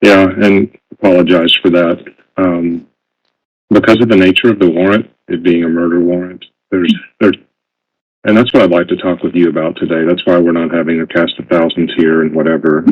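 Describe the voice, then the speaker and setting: A man working as a federal agent, questioning a suspect in a calm, professional, procedural way, in a muffled lo-fi interview recording. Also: deep voice, brisk, slightly rough. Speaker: FBI agent. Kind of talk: formal interrogation.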